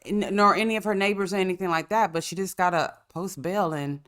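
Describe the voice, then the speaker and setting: A woman's voice: on the low side, brisk, a bit bright. A woman hosts a casual podcast while stuffed up with a cold. She tells stories with sassy, profane humor and laughs often. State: congested, coughing. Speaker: podcast host. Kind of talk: casual chat.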